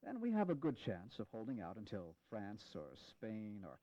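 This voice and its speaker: An actor playing a grave, courteous American Revolutionary general in an audio drama, speaking in a measured, formal way. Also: male voice, fairly low pitch, wildly swooping pitch, mellow. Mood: grave, resolute. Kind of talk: dramatized history audio acting. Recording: dull, lo-fi 1980s audio drama.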